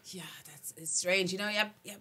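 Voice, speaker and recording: A woman's voice: mid-range voice, normal speed. A woman who is not a native English speaker, a guest filmmaker chatting animatedly in a small radio studio on a desk mic. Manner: animated, filler-heavy, emphatic. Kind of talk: casual interview chat.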